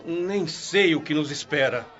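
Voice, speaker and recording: Fretful, higher-voiced actor as an overworked man. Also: animated, unhurried, fairly bright tone. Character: overworked, fretful male spirit-world worker. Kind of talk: radio drama acting. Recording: dull, narrow sound.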